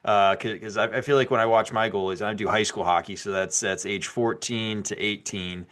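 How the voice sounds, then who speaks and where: A man's voice: fairly low pitch, fairly even intonation, moderate pace, a bit bright. A man hosting a podcast, asking questions in a casual, upbeat way with frequent restarts. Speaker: podcast host. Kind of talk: podcast interview and ad read.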